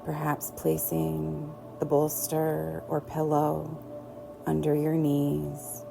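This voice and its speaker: A woman teaching yoga nidra, guiding relaxation in a gentle, soothing way with long pauses. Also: deep voice, completely flat delivery, gravelly, rough recording. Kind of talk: guided relaxation meditation. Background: soft background music.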